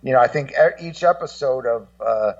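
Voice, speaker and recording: A man chatting casually in an interview, rambling and blunt, often halting and repeating words. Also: mid-range voice, no echo. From Los Angeles, United States. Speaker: guest co-host, documentary maker. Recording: dull, muffled, slightly distant sound.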